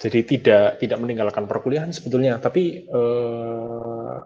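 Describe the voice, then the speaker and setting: A man's voice: medium pitch, normal speed, warm tone. A young male lecturer talks through an online class wrap-up, hesitating and restarting often. His mid-range voice comes through a dull, lo-fi call line. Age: 20s. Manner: hesitant, many fillers and restarts. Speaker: university lecturer. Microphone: video call.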